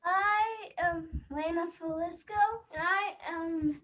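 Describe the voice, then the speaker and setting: A woman, an actor, speaking in a halting, deliberate way into a dull, distant microphone. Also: extremely high pitch, pushed and bright.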